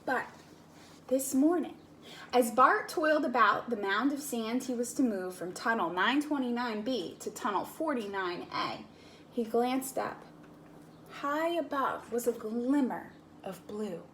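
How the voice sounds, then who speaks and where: A woman reading a picture book aloud to a child in slow, expressive narration. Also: a little high, a little hiss or hum. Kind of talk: storybook read-aloud.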